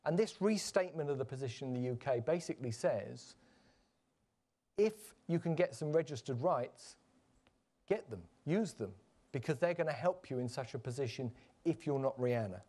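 A man giving a measured, explanatory conference presentation, with frequent fillers and restarts. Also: medium pitch, expressive, dark tone. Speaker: conference panel speaker. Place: conference stage.

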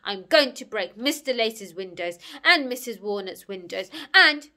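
A woman's voice: a little high, lively intonation, measured pace. A woman voicing a big, muscular, hot-tempered storybook bully boy who is wounded underneath, with emotional outbursts. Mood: menacing, boastful. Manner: loud, growling, emotional outbursts.

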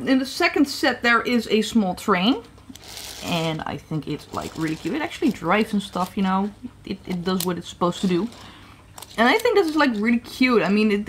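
A woman's voice: mid-range voice, fairly bright tone. Chatty woman reviewing toys at home. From Netherlands. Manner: chatty, hesitant, many fillers and restarts. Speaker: toy reviewer. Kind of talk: casual product review. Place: indoor room at a tabletop set.

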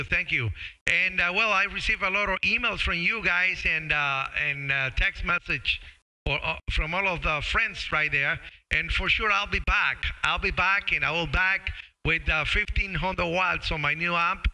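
A middle-aged man chats over ham radio in a very bright, crisp voice pitched a little high. He is friendly and casual, pausing and searching for words as he goes. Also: low-quality recording. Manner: friendly, halting, calls listeners brother. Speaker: amateur radio operator and live streamer. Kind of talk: casual ham radio contact chat on a live stream. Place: small home radio room. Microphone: boom-arm studio microphone with headset.

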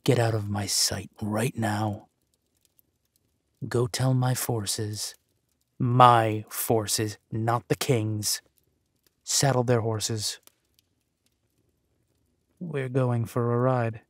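An actor playing a ruthless, possessive villain duke of low birth in an audio roleplay, with menacing, deliberate phrasing. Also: male voice, little pitch movement, dry recording, studio quality. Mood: cold, irritated.

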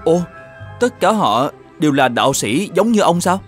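An actor playing a good-natured, simple peasant farmer in an animated fairy tale, earnest and polite. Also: male voice, a little high, moderate pace. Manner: earnest, polite, slightly naive. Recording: music behind the voice.

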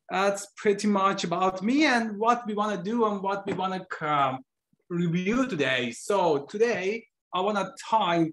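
A man from Iran giving an earnest, slightly halting presentation to an online meetup over a video call. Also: on the high side, a bit bright. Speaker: guest speaker, trainer.

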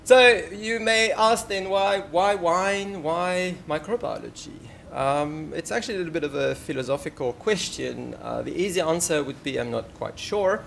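A man in his forties with a French accent, giving a formal university lecture at a podium microphone in a large lecture hall, earnest and often pausing with ums. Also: fairly high pitch, creaky, slight background noise. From France. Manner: earnest, warm asides, frequent ums. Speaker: professor giving inaugural lecture.

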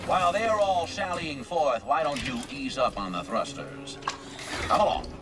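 Game side role: genial tinkerer, folksy coaxing, lively pitch swings, slightly distant over music. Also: male voice, a little slow. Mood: coaxing, friendly. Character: folksy, friendly, unhurried mechanic. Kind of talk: video game cutscene acting.

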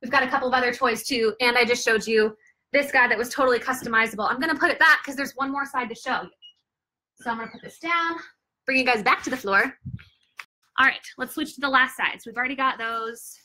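A young woman with a bright, forceful voice pitched a little high, talking chattily to camera as she demonstrates baby gear. She sounds upbeat and loose, with plenty of fillers. It is recorded from a few feet away on a living room floor. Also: fast talker, slight background noise, rough recording. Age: young adult. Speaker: product reviewer and mother. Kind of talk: casual product demo to camera.

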